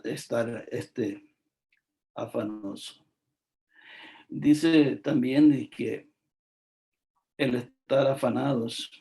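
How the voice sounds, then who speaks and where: A man from El Salvador, preaching a devotional sermon in a slow, emphatic, repetitive way, with frequent filler words. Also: mid-range voice, creaky, mellow.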